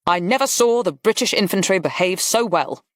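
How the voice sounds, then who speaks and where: A narrator voicing a brisk, blunt aristocratic general in an audiobook, with clipped, emphatic phrasing. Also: medium pitch, expressive, no room sound, studio quality, pitched above the performer's own voice, natural voice. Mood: animated, emphatic. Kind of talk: audiobook character dialogue.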